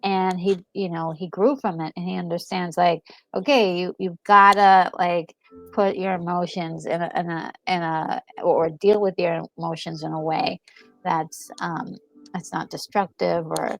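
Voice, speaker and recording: A woman gaming co-host talking casually and enthusiastically, thinking aloud in a halting way as she reacts to a game. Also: fairly low pitch, fairly even intonation, vocal fry, dry recording, soft background music. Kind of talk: casual reaction chat about a video game.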